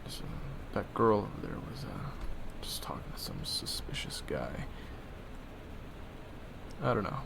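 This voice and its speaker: Homme sardonique aux apartés murmurés. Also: male voice, sing-song, a little slow, vocal fry, pauses often, background noise. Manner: low, murmured asides, sardonic. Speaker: roleplay voice actor playing assassin roommate. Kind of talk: audio roleplay.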